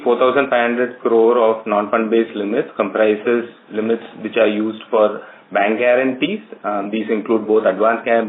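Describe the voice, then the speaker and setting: A man's voice: mid-range voice, slight background noise. A man, a company executive, answering analyst questions on an earnings call, explaining at length with many fillers and restarts. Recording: echoey tinny phone line.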